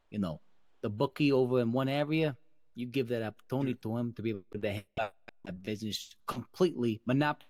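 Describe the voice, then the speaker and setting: Man playing a low-voiced, wordy mafia boss. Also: a little mellow, no echo, lightly acted. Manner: profane, long-winded, pushes for agreement. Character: mafia boss negotiating debts, firm and wordy. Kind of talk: improvised crime roleplay in an online game.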